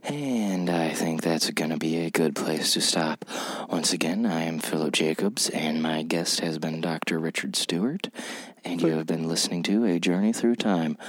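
Guy as a polite radio host signing off, deep voice, very dry close sound. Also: moderate pace, hardly any pauses, dry recording, low-quality recording. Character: courteous male radio show host. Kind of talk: show sign-off.

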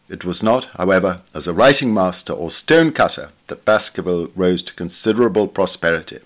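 A man reading a literary article aloud as scripted narration, in a measured, formal manner. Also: fairly low pitch. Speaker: reader of a journal article.

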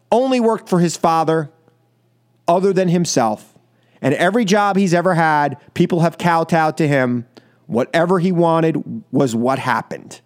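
A high-voiced American man hosts a political radio show. He delivers his opinions as an emphatic, scolding monologue. His points come in short phrases that he repeats for force. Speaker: radio talk show host.

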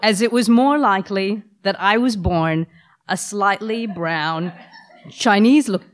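A woman's voice: mid-range voice, slow pace. A woman, who has the flu, telling a personal story with wry humor to a live audience. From Australia. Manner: wry, self-deprecating humor.

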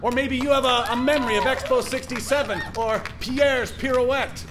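A man's voice: very high voice, fairly even intonation, moderate pace. A man acting in a satirical audio drama as a flustered, sarcastic, self-important foundation director, stammering and wry. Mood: rousing, theatrical. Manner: stammering, wry, theatrical in speeches. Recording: faint vehicle noise behind. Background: moving car ambience.

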